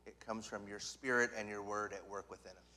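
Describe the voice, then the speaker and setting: A man preaching a sermon in short phrases, pausing for an interpreter. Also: fairly low pitch, steady tone, sharp tone. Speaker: guest preacher. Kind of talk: sermon in English with live interpretation. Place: church sanctuary.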